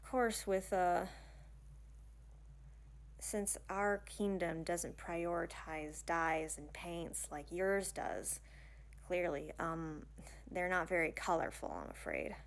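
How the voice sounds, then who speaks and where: A woman's voice: lowish voice, takes it slowly. A woman playing an awkward, bashful young princess in a fantasy audio roleplay, hesitant and stammering, rambling in casual modern phrases. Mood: bashful, apologetic. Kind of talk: ASMR romantic fantasy audio roleplay, one-sided dialogue.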